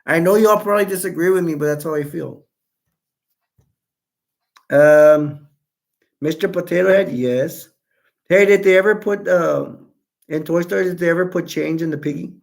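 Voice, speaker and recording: A man hosts a live chat stream, reading out viewer comments and wandering from one thought to the next. His voice sits somewhat high and has a gravelly fry in it. It is getting hoarse as he talks. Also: moderate pace, pauses often. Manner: rambling, self-correcting, chatty. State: voice going hoarse.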